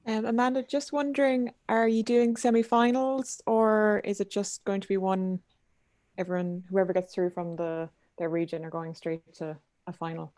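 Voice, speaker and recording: A woman's voice: medium pitch, fairly even intonation, normal speed, a little mellow, no room sound. A woman participant asking questions in a casual conversational style.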